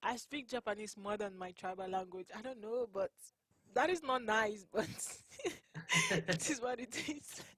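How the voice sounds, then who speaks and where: A young woman from Nigeria with a Nigerian accent, whose first language is Igbo, answering interview questions in an earnest, unhurried way and laughing often. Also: medium pitch, fairly bright tone. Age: young adult. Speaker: college basketball player.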